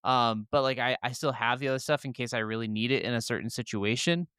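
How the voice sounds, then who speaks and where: A man's voice: medium pitch, fast, pushed and bright, clean tone, dry recording, studio quality. A man co-hosting a gaming podcast in casual, opinionated chat, rambling enthusiastically through explanations and swearing freely.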